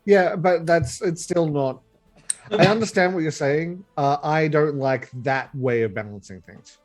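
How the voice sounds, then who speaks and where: Frank player with a higher voice. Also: male voice, a little slow, soft background music.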